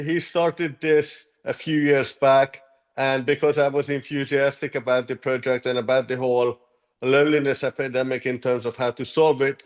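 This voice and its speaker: Hungarian-born man, mid-pitched, measured interview guest who often restarts his sentences. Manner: earnest, measured, with frequent ums and restarts. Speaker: app co-founder, guest. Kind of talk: interview answers.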